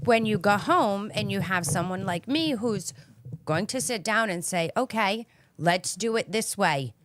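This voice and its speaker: A woman's voice: mid-range voice, lively intonation, a little slow, no echo. A woman guest on a casual panel chat, speaking frankly and sounding fed up. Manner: exasperated, frank.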